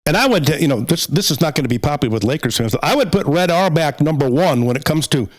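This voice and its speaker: A man hosting a podcast, talking casually about sports in a conversational, halting way as he works through a list. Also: on the high side, animated. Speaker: podcast host.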